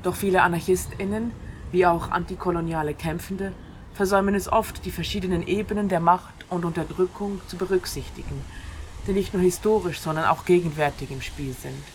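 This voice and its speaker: Woman radio host, lowish voice, informal, conversational delivery, clear ambient noise from an open window. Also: fairly even intonation, brisk. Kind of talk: informal monologue on free radio, with read-out passages. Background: ambience through an open window.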